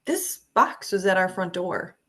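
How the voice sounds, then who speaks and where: A woman's voice: fairly low pitch, lively intonation, unhurried, fairly bright tone. A woman playing an earnest, affectionate fiancée at a screenplay table read.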